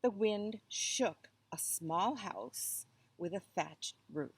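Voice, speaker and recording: Slow, expressive woman storyteller with creaky voice. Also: mid-range voice, a little hiss or hum. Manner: expressive, deliberate phrasing. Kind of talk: read-aloud children's storytelling.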